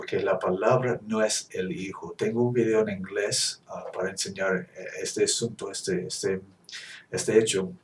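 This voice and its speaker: A man's voice: fairly low pitch, darkish tone. A man whose first language is English, preaching earnestly in Spanish with frequent restarts and self-corrections. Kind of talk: teaching sermon. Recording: echoey room, distant mic.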